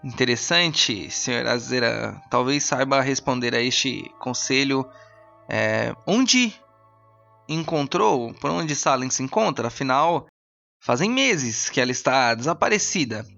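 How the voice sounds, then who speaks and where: A man voicing a suspicious, courteous council mage with a measured, haughtily polite manner in a fantasy role-playing audio drama. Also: mid-range voice, lively intonation, vocal fry, pushed and bright, lo-fi sound, faint music behind, heavily acted. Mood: probing.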